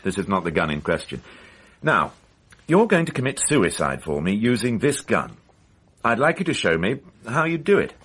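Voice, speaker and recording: A man playing a dry, persistent police inspector in a radio mystery drama, measured and insistent yet courteous. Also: on the low side, sing-song, a bit bright, a little hiss or hum.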